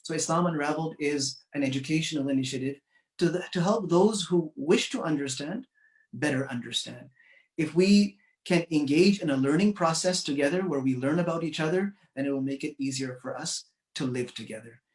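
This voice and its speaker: A man's voice: a little high, roomy sound. Earnest man speaking from far off. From Canada. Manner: measured, earnest, with frequent uh fillers. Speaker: community speaker. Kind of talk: commemorative speech.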